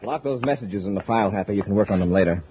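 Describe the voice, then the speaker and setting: An actor playing a stern, authoritative space patrol commander in a 1950s radio adventure, with a husky voice. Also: male voice, lowish voice, a little hiss or hum, close to an everyday voice. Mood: grave, urgent. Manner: brisk, authoritative. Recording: muffled 1950s radio recording.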